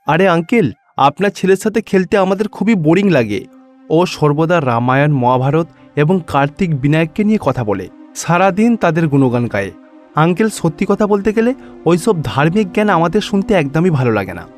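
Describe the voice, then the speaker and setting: Mischievous cartoon playmate boy man acting, fairly high, blunt and grumbling, over faint music. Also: sing-song, moderate pace. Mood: complaining. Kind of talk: cartoon story dialogue.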